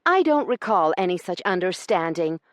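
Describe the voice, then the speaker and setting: A woman voicing a prim, sharp-tongued young lady who speaks in a clipped, proper and cutting manner. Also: mid-range voice, big pitch swings, normal speed, no echo, voice pushed up, natural voice. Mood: indignant.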